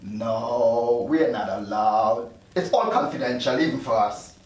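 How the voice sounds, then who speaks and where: A man's voice: mid-range voice, animated, measured pace, darkish tone, close to an everyday voice. A man playing an evasive afterlife doctor in his thirties, read at a script table read. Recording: very echoey room, distant mic.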